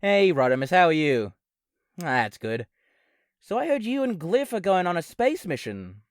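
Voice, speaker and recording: Woman playing a casual, lower-voiced robot best friend. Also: sing-song, normal speed, dry recording, clean studio sound. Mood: casual, insistent. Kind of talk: audio drama acting.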